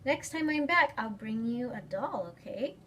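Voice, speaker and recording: A woman plays a gentle, affectionate storybook papa. The delivery is soothing and coaxing, with faint noise on the recording. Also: on the high side, animated, measured pace. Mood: tender.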